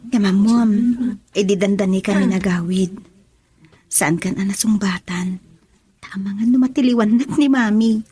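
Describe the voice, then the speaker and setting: A woman's voice: mid-range voice, measured pace, pauses often, mellow, breathy edge, dry recording. A woman playing a sweet young student in love in an Ilocano radio drama. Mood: affectionate.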